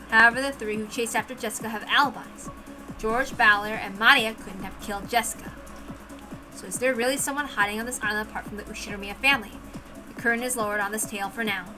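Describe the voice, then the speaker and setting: Woman reading a visual novel out loud, dramatic narration, highish voice, music under it. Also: fairly even intonation, fairly quick.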